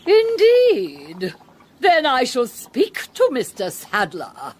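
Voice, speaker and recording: Overbearing noble lady, upper-class English, husky edge, animated pitch, commanding, scornful, period radio drama. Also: slow, exaggerated voice. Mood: haughty, curious. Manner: haughty, commanding, sharply dismissive. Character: haughty, imperious aristocratic lady, overbearing and threatening.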